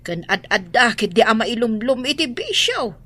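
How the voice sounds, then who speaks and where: Bright, argumentative complainer. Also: female voice, on the high side, sing-song, normal speed, heavily acted. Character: complaining, argumentative grown woman fretting over gambling. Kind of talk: Ilocano radio drama acting.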